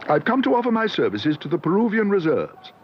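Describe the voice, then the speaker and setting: A man's voice: sits high, very animated, brisk, pitched above the performer's own voice. A man voicing a polite, earnest young bear in a storybook reading, on a dull, noisy recording.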